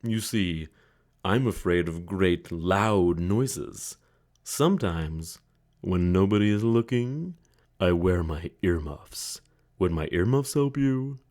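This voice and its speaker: A man voicing a big, growly, gravelly storybook beast, like a lion or bear, confessing its fears. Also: deep voice, animated, slow, a little mellow. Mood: gruff, confiding.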